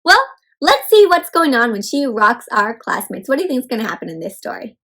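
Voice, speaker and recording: High, animated woman reading to children. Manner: asks listeners questions, pauses, addresses children as friends. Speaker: read-aloud storyteller and teacher. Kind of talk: interactive children's read-aloud.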